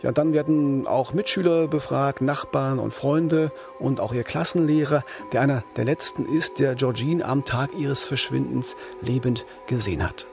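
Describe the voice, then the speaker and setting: A man narrates a true-crime podcast as a reporter. His voice is medium in pitch with a lot of gravelly fry. He explains things in a serious, orderly way. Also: moderate pace, hardly any pauses, music in the background.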